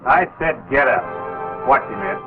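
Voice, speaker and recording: An American man playing a brisk, commanding federal agent in a 1960s training film. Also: medium pitch, expressive, music in the background, close to an everyday voice. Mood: firm, commanding. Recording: tinny narrow-band old film soundtrack.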